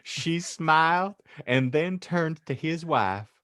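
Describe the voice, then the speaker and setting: A man's voice: on the high side, animated, slow pace, fairly bright tone, clear, resonant tone, no room sound, studio quality. Theatrical, swooping male narrator. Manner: mock-earnest, theatrical. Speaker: podcast host and narrator. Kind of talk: comic dramatic audiobook narration.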